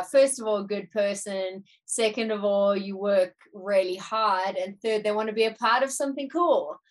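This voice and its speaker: A woman in her thirties from South Africa, her accent partly Americanized, talking easily as a musician guest. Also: mid-range voice. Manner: easygoing, thoughtful, uses "like" often. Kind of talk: casual interview chat.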